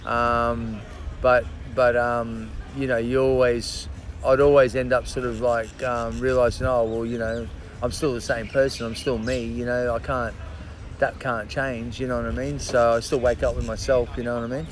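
A man chatting as a podcast guest, halting and full of restarts and fillers, swearing casually. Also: mid-range voice, flat delivery, slight background noise. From Australia. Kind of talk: casual interview chat.

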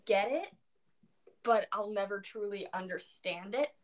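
A woman's voice: mid-range voice, a little slow. A young woman chatting casually about poetry, rambling with many fillers and self-corrections, sounding tired. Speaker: co-host discussing poetry. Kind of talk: casual chat.

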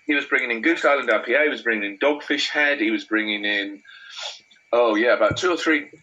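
A man's voice: mid-range voice, fairly quick, pushed and bright, muffled recording. A man with a North Welsh accent, a beer writer chatting casually and rambling through anecdotes with many restarts. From Wales. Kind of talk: casual chat.

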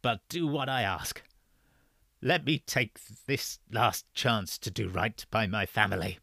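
A man reading the part of a frail, stubborn old man near death, speaking gruffly and insistently. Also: lowish voice, expressive, a little slow, sharp tone, dry recording, clean studio sound, exaggerated voice. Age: older.

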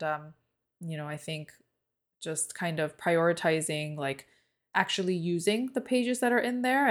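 A woman podcast co-host whose first language is Korean, chatting casually and easily with many fillers. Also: lowish voice. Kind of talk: casual chat.

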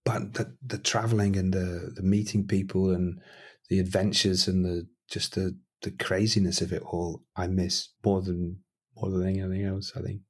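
Husky-voiced rambling male musician. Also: sits low, little pitch movement, normal speed, darkish tone. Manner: rambling, self-deprecating, frequent restarts. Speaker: interviewed musician. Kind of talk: casual interview chat.

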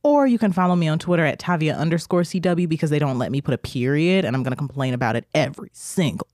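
A man's voice: a little high, quick pace, darkish tone, no echo, clean studio sound. A man co-hosting a podcast, bantering playfully and joking dramatically with his friend. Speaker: podcast co-host. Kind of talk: casual banter. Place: indoor room at home.

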